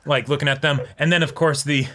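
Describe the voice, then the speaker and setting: A man with a bright, sharp voice chats as co-host of a reaction show. His delivery is casual and expressive, and he often interjects conversationally. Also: a little high, quick pace. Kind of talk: casual reaction chat about an anime episode.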